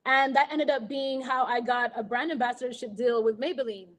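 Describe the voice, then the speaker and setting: A woman with an American accent and a high voice tells her career story in a casual interview. She is animated, laughs easily and acts out other people's voices as she goes. She sounds like a woman in her thirties. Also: brisk. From Kenya. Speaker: guest artist.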